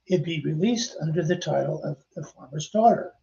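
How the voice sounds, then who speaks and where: Gravelly older man telling anecdotes. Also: a little high, animated, moderate pace, dark tone, lo-fi sound, slight background noise. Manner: anecdotal, chatty, addresses listeners directly. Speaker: podcast host and author. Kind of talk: casual storytelling narration.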